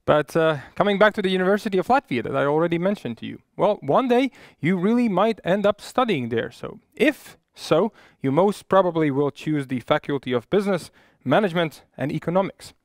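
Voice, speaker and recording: A man hosting an online ceremony on a headset mic in a studio, in a smooth presenter's manner. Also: on the high side, animated, brisk, no room sound. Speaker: host. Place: studio with virtual backdrop.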